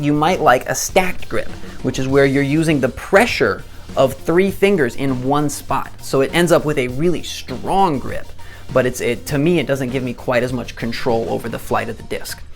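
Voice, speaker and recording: A hoarse man in his twenties gives a chatty, self-deprecating tutorial, often restarting his sentences. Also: medium pitch. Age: 20s. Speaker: disc golf instructor. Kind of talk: casual tutorial to camera.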